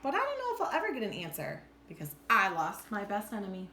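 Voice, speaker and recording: A woman reads a children's storybook with very lively, swinging intonation. Her manner is animated and expressive, as in a teacher's storytime. The room is echoey, with faint noise behind her. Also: mid-range voice, fast. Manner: animated, clearly acts out lines. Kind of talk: expressive storybook read-aloud.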